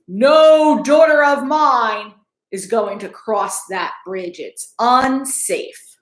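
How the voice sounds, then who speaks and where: Lady doing a worried strict dad from a kids book, forbidding, slow, roomy sound. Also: fairly high pitch. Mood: stern, forbidding. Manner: declamatory, forbidding. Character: stubborn, fearful father declaring a bridge unsafe. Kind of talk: storybook character in a read-aloud. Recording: echoey room.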